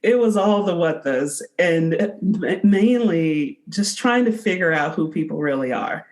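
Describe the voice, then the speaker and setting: A woman's voice: fairly low pitch, lively intonation, unhurried, darkish tone. A woman in her forties answering interview questions as a podcast guest, explaining things earnestly in a conversational way. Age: 40s. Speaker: podcast guest, company founder.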